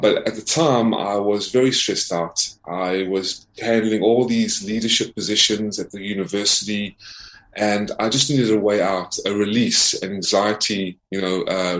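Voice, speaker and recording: A man from South Africa speaking as an investigative reporter and interview guest, earnestly presenting in a formal, emphatic way and often repeating himself. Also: lowish voice. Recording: roomy, echoing voice.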